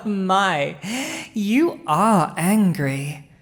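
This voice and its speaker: Woman playing a very slow-spoken, haughty villainous dragon. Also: mid-range voice, lively intonation. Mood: amused, condescending. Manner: slow, imperious, teasing, with lingering pauses. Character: villainous dragon usurper, haughty, possessive, gloating over a throne. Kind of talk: dramatic roleplay audio addressed to the listener.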